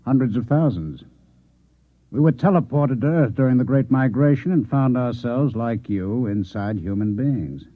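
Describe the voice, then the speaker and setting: A man's voice: medium pitch, normal speed, close to an everyday voice. Man acting a coolly menacing Martian infiltrator, echoey old radio. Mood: cool menace. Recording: echoey, phone-like old radio drama recording.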